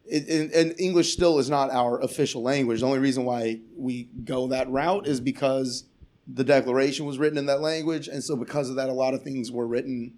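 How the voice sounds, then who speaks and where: A man's voice: mid-range voice, steady tone, brisk, darkish tone. A man, a comedian guest on a podcast, ranting in casual chat with sarcasm and swearing. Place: Portland area.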